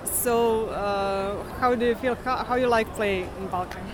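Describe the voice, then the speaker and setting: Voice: medium pitch, measured pace. An interviewer asking questions in broken, non-native English. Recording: noisy street with crowd.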